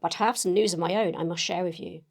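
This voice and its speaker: An excitable young Victorian lady played by a woman, reading a letter aloud as if in drama. Her voice sits slightly low and has a clear creak. She is gushing, sentimental and earnest, talking as though to a close friend. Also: fast. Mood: warm, chatty. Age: young adult.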